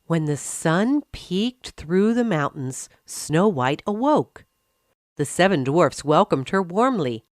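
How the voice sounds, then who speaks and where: A woman narrating a scripted fairy tale for children, expressive and carefully phrased. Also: lowish voice, takes it slowly, no room sound, clean studio sound. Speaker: storyteller.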